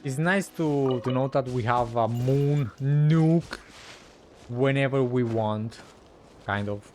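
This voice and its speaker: A man's voice: mid-range voice, slow pace, darkish tone, faint music behind. A man streaming a game, giving casual, off-the-cuff reaction commentary.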